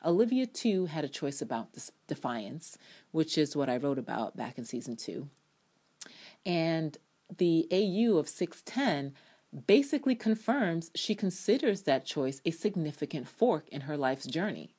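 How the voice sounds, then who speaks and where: Low-voiced woman reading opinion essay. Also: big pitch swings, a little slow, warm tone, breathy edge. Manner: emphatic, opinionated, acts out quotations. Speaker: TV show reviewer.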